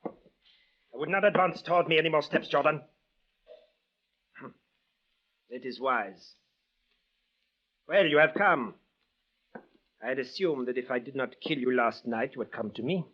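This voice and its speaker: A man acts out a patient, menacing villain in a vintage radio play. He speaks in a medium pitch with measured, stiffly formal foreign phrasing. The sound is muffled and lo-fi. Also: lightly acted. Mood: coolly menacing. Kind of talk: old-time radio drama acting. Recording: dull, lo-fi old radio recording.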